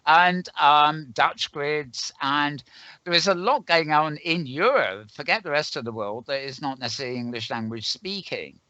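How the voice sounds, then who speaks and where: A man hosting a casual online panel discussion, rambling and halting as he thinks aloud. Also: a little high, bright, forceful tone, no echo. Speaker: host. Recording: dull, lo-fi online voice call.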